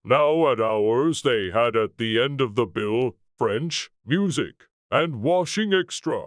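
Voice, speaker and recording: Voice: mid-range voice, animated, slow, no echo, clean studio sound. A storybook narrator voicing a melancholy, touchy old male turtle who tells tales in a sighing, mournful way. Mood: proud, wistful.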